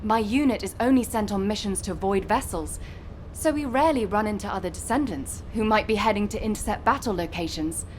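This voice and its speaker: A woman voicing a cheerful, talkative young female soldier in a video game, teasing and expressive. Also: mid-range voice, brisk, a little hiss or hum, natural voice. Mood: upbeat, chatty. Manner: chatty, playfully exasperated.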